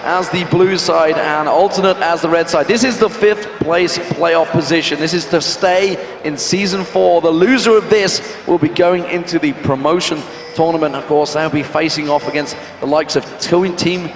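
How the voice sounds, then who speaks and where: Man casting esports, echoey arena. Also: fairly high pitch. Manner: brisk, excitable hype. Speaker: esports caster. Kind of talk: esports play-by-play commentary. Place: packed convention hall. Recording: echoey arena with crowd noise.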